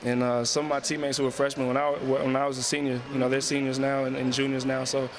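Young guy talking quick in a flat, even tone, laid-back and polite, lots of "you know"s, crowd noise all around him, handheld mic in an arena hallway. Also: mid-range voice. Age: young adult. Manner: relaxed, polite, many "you know" fillers. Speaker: college basketball player. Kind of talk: post-game interview. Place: arena concourse, indoors. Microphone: handheld interviewer's mic.

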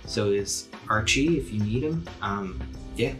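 A man's voice: on the low side, animated, a little slow, a bit bright. Male game master over faint music. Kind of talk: casual tabletop game narration.

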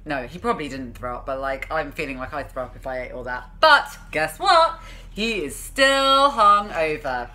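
A woman in her thirties presents a playful read-aloud as a vlogger at home. Her voice is bright and crisp-edged, with highly animated pitch. She leans into words with theatrical emphasis and drops into chatty asides. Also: normal speed. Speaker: parenting vlogger, host. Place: small room at home.

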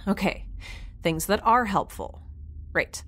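A woman's voice: medium pitch, lively intonation, slow, fairly bright tone. A woman playing an earnest, chatty young spaceship resident with a hesitant conversational manner in a science fiction audio drama. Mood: flustered.

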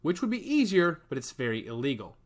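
A man's voice: a little high, sing-song, a little fast. A man voicing a guarded adult woman scientist in an audiobook story, talking firmly and clipped, sometimes harsh. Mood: wry. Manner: firm and clipped, turning harsh. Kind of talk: audiobook character voice.

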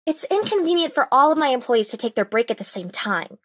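A woman voicing a brisk, businesslike fifteen-year-old girl boss in a fan cartoon, articulate and officious. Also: sits high, big pitch swings, a bit bright, no room sound, clean studio sound, full character voice.

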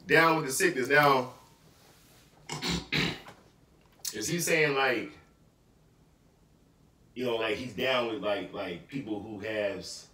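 A man's voice: mid-range voice, slow pace, long pauses, pushed and bright. A man in his thirties co-hosting a reaction video at home, talking casually and rambling with lots of fillers. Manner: laid-back, rambling with many fillers. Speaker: reaction channel co-host. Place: bedroom, indoors. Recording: echoey room, mic far off.

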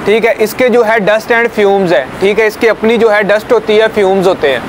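A man's voice: sits high, moderate pace, background noise. A young man giving a hands-on welding safety lesson outdoors to a small group, explaining step by step and often checking that they follow. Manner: explanatory, checks understanding with "ठीक है" and "समझ आई है?". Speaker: safety trainer. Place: outdoors beside a building, small group of trainees. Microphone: camera at a few meters.

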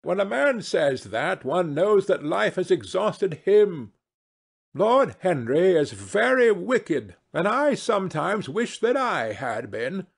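A man voicing a witty, imperious old aristocratic hostess in a novel reading, effusive, drawn-out and theatrical. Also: high-pitched, sing-song, gravelly, husky, pitched above the performer's own voice, full character voice. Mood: arch, teasing. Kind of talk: dramatised classic novel reading.

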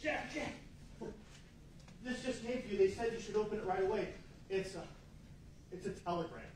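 A man's voice: high-pitched, normal speed, natural voice. Man playing hesitant colleague, very echoey. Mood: concerned, hesitant. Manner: hesitant, stammering. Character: hesitant, concerned radio studio colleague. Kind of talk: stage play set in 1940s radio studio. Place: large indoor meeting room with seated audience. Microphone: far camera. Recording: very echoey room, far off, noisy.

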